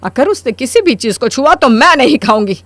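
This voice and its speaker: A woman's voice: sits high, expressive, brisk, no echo. A woman playing a stubborn, scheming middle-aged mother-in-law in a Hindi cartoon story, with a theatrical, scolding delivery. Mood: angry, stubborn.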